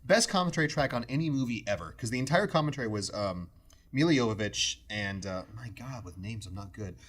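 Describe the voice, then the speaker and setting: A man's voice: medium pitch, expressive, a little fast, fairly bright tone. A man hosting a casual podcast discussion in a jokey, wry manner, moving it along with his opinions. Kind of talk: casual chat, film opinions.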